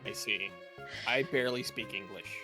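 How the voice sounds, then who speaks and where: A man's voice: fairly low pitch, normal speed, bright, forceful tone, faint music behind. Rambling joking man co-streamer. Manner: rambling, joking, swears casually. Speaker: co-streamer guest. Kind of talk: casual chat while streaming a game.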